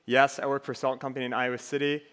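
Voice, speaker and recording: A man's voice: medium pitch, fast talker, clear, resonant tone. Young man preaching in an echoing hall. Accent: faint Minnesotan. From Minnesota. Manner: relaxed, joking, conversational. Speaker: campus ministry speaker. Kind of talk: casual sermon to a student group.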